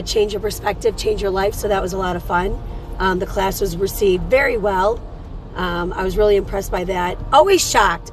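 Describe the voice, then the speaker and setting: A woman with a hoarse voice hosting a casual live morning chat. She reads cards for people, talks chattily and calls her viewers sweetheart. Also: on the high side, slight background noise. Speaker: host and card reader.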